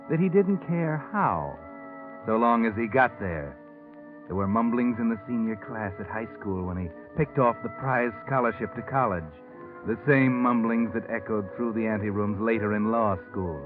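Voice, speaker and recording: A man's voice: on the low side, natural voice. An actor playing a sardonic, shadowy male storyteller, narrating a 1940s radio mystery in a wry, insinuating way. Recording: tinny old radio recording, loud music.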